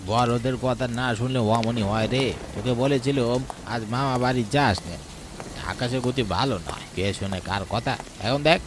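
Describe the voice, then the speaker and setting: A man playing a worried old village grandfather in a horror cartoon, admonishing and gravely telling tales. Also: mid-range voice, normal speed, background noise, close to an everyday voice. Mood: scolding. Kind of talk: Bengali horror cartoon dialogue.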